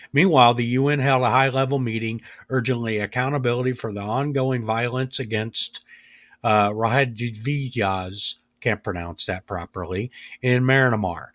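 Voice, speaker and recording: Male news host with a raspy head-cold voice, mid-pitched, close dry mic, reads headlines and trails into halting asides. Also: a bit bright, dry recording. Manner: reads bulletins, then drifts into halting, filler-heavy asides.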